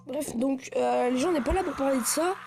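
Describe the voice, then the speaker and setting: A man's voice: extremely high pitch, normal speed, gravelly. A male vlogger with a cold, ranting casually in a rambling, repetitive, slangy way. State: has a cold. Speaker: gaming channel vlogger. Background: loud hip hop background music.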